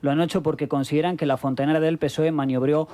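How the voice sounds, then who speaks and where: A man's voice: fairly high pitch, fairly even intonation, quick pace, dark tone. A man from Spain reading news items and introducing clips on a radio news show.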